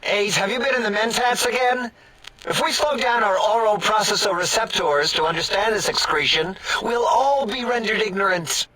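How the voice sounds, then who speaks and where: A man's voice: high-pitched, moderate pace, sharp tone, poor audio. A man voicing a nervous, skeptical scientist brain in a machine in a video game, with a whiny, doubtful manner. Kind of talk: theatrical comic video game acting.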